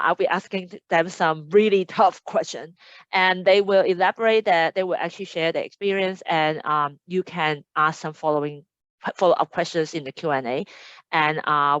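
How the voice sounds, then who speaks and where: A woman's voice: fairly low pitch, no room sound, muffled recording. A woman hosting an online admissions webinar as a casual chat, upbeat and chatty as she reads out audience comments. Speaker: admissions host. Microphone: video call.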